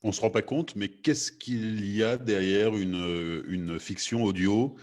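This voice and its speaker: Male host, fairly low voice, moderating a discussion. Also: takes it slowly, a little mellow.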